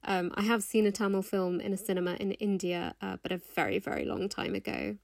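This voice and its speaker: A woman's voice: mid-range voice, little pitch movement, brisk, gravelly, a little mellow, no room sound, studio quality. A British woman speaking as a podcast guest, reflecting in a thoughtful, articulate way. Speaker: author and actor, podcast guest. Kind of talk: reflective spoken commentary.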